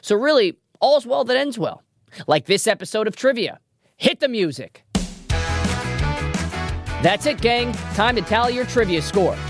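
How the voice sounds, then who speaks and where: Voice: mid-range voice, lively intonation, a little slow, long pauses. A trivia show host presenting a scripted quiz in a playful way, with wry asides. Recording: music behind the voice.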